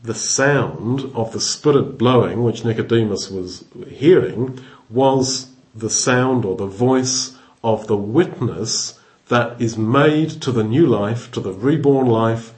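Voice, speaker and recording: A man's voice: mid-range voice, takes it slowly, darkish tone, poor audio. A man giving expository Bible teaching, thoughtful, often restarting phrases as he explains verses word by word.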